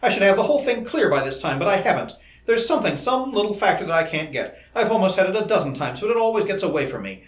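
A man's voice: on the high side, huge pitch swings, fast talker, hardly any pauses, fairly bright tone, voice pushed up. A man voicing an ardent, driven young male scientist in a storybook, speaking with emphatic, effusive intensity. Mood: agitated, frustrated. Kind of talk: old-fashioned storybook dialogue. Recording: roomy, echoing indoor recording.

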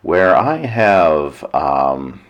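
A hoarse man hosting a weekly radio talk show, giving a measured lecture with dry asides. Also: very deep voice, big pitch swings, muffled recording. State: hoarse, nearly lost voice. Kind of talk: solo radio talk monologue.

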